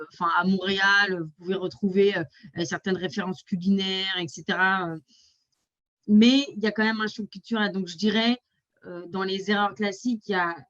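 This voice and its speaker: A woman's voice: mid-range voice, no echo, muffled recording. A woman from France speaking as an export advisor on a webinar panel, with many fillers and restarts.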